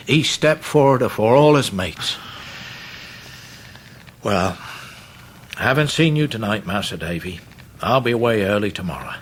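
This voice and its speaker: A man's voice: mid-range voice, a little hiss or hum. An actor playing a humble, devoted working-class old fisherman with an East Anglian accent, speaking earnest rustic dialect in a radio drama. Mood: grave, determined. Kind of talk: full-cast radio drama acting.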